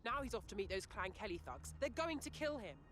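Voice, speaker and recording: A woman's voice: medium pitch, big pitch swings, a little fast, a bit bright, faint music behind. An actress playing a distraught woman in a video game, anxious and emotional as she pleads for a friend. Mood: distressed. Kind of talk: video game cutscene acting.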